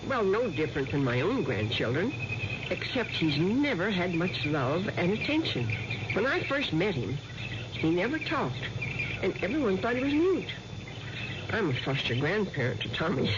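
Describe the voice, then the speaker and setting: A woman over sixty tells a personal story slowly, with lively swings in pitch. The recording is dull and noisy. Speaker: foster grandparent in public service spot. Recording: dull radio spot, clear background noise.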